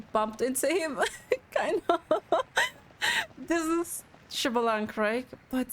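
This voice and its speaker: A woman giving casual live reactions to a video game, thinking aloud in a halting, giggly manner. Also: a little high, a bit bright, a little hiss or hum. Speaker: gaming video creator reacting to gameplay.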